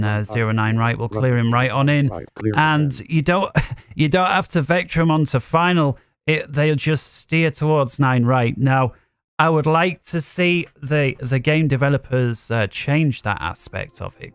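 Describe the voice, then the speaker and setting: Male gaming host, mid-pitched, casual think-aloud play commentary over music. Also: smooth. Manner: thinking aloud while playing.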